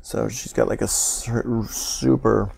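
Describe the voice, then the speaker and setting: A man in his thirties giving a casual, unscripted software tutorial as an instructor, thinking aloud as he goes. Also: fairly low pitch, fairly even intonation, slow pace, creaky. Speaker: online music production instructor. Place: small room at home, indoors, livestream.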